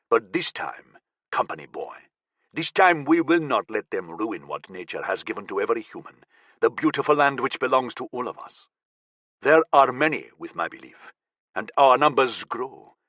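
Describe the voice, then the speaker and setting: A man voicing a cold, menacing militant leader who speaks slowly in a low, dangerous tone. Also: big pitch swings, a bit bright, no room sound.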